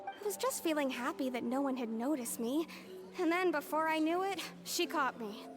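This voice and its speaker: A woman's voice: high voice, moderate pace, over music, close to an everyday voice. A woman voicing a sheepish young female culprit in a video game, hesitant and apologetic. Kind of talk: dramatic video game voice acting.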